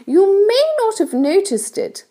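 Woman voicing a high-pitched kindly ladybird lady. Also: big pitch swings, unhurried, mellow, heavily acted. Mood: explaining, reassuring. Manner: explanatory, reassuring, emphatic. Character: kind gentle female ladybird, calm and assured.

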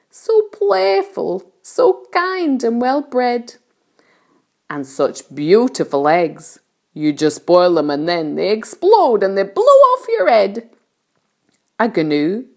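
A woman's voice: medium pitch, a little mellow, voice pushed up. A woman voicing a boisterous, gleeful male centipede in a storybook, exuberantly declaiming in rhyming verse.

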